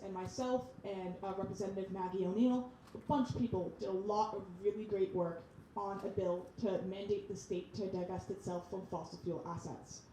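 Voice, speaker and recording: Dark-voiced young woman on a panel. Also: medium pitch, a little hiss or hum. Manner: grows emphatic and louder when stressing a point. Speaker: youth panelist. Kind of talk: panel discussion. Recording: echoey room.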